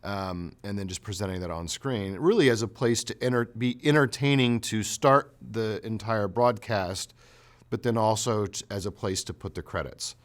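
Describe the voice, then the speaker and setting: A man speaking as a producer in an interview, explaining his craft with halting, rephrased sentences. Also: lowish voice.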